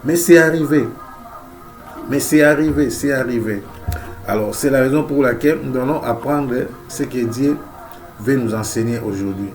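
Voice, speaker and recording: A man's voice: medium pitch, moderate pace, faint music behind. Man preaching with a head cold. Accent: Central African French. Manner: emphatic, repeats key phrases, frequent amen and alleluia. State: has a cold. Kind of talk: sermon.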